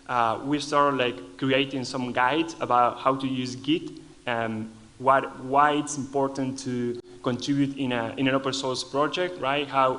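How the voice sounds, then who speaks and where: A man's voice: medium pitch, a bit bright, low-quality recording. A man from Argentina with an Argentinian accent, a native Spanish speaker, giving a lightning talk at a conference and often restarting his phrases.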